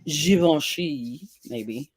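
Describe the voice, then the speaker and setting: A woman's voice: deep voice, animated, extremely slow, darkish tone, slightly rough, dry recording. Chatty woman hosting a livestream. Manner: chatty, reads viewer comments aloud, laughs often. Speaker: livestream face paint host. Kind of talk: casual livestream chat.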